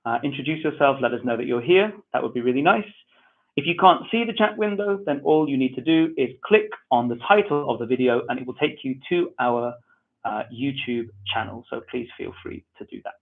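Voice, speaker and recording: A man from England with a Manchester accent, hosting an online webinar and calmly giving welcome and housekeeping instructions. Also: fairly high pitch. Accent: Mancunian English. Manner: friendly, repetitive, relaxed instructions. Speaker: webinar host. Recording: tinny phone-like online audio.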